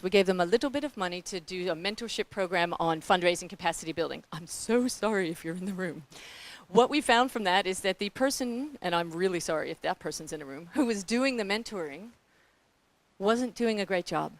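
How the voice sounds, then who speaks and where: A middle-aged woman with a New Zealand accent gives a panel talk about grantmaking. She is blunt and candid, animated, and often speaks straight to the audience. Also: medium pitch, fairly quick.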